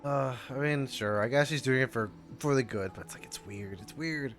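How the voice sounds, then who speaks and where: A man streaming casual game reaction commentary, haltingly repeating his phrases as he thinks aloud. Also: medium pitch, a bit bright. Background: game music.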